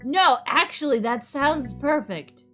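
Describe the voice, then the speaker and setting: Girl streamer reading a visual novel out loud, super animated, playful, music in the back. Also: high-pitched, takes it slowly. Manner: playful, theatrical, exclamatory. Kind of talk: live visual novel reading with chatty commentary.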